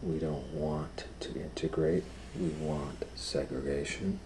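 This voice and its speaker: Man, deep low voice, storytime educator, children's read-aloud, simple deliberate phrasing, noisy recording. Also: fairly even intonation.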